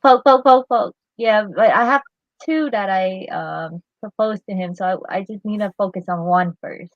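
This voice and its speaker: A woman's voice: medium pitch, moderate pace, no room sound, lo-fi sound. A woman who grew up in Vietnam, a guest artist chatting casually on a livestream, giggly and hesitant with fillers.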